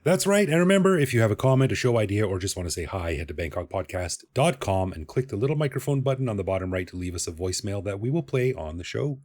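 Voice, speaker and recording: A man hosting a podcast, chatty and upbeat, with a fairly low voice. He moves quickly from scripted introductions to loose interview banter. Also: big pitch swings, a little mellow. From Canada. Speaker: podcast host.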